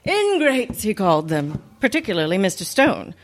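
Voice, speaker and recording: A woman playing a wry, wisecracking high school teacher with sardonic wit in an old-time radio comedy. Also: big pitch swings, normal speed, lightly acted. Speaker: actor in a radio play.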